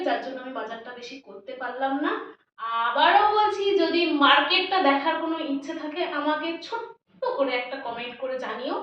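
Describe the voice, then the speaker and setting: A woman with a West Bengal Bengali accent chats casually to her viewers as a lifestyle vlogger, showing her shopping. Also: high voice. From West Bengal, India. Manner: chatty, addresses viewers as friends. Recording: roomy echo, distant mic, dull, faint noise.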